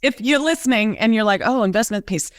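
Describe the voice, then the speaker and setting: A chatty woman host with a somewhat high voice, asking questions in a loose, filler-heavy way. Her sound is dry and close to the mic. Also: brisk, no echo. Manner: chatty, uses many fillers, asks questions. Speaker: host and coach. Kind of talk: conversational interview.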